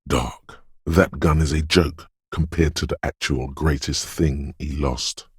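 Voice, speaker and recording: Game role: ominous dreamlike inner voice, very raspy, unhurried, close and dry, cryptic and hushed in its intensity. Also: male voice, steady tone, no echo, heavily acted. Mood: somber. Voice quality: very deep, gravelly, husky. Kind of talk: dramatic game narration.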